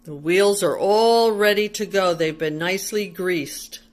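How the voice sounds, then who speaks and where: Slow-paced woman reading to little kids. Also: medium pitch. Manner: child-directed, explaining and asking questions. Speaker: teacher reading a picture book to young children. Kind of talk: read-aloud with simple explanations for small children.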